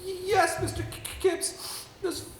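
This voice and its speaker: In an echoey stage recording with some noise, a timid male clerk with a pronounced stammer. His voice is pitched high and he is anxiously deferential to his betters. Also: steady tone, slow pace. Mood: nervous, deferential. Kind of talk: amateur stage play acting. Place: indoor stage, picked up with room sound. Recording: roomy, noisy amateur theatre recording.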